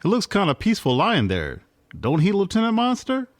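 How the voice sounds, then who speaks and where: Man acting a folksy sergeant, wildly swooping pitch. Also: huge pitch swings, a little fast, dry recording, studio quality. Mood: naive, apologetic. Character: simple, well-meaning police sergeant sidekick. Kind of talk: radio play acting.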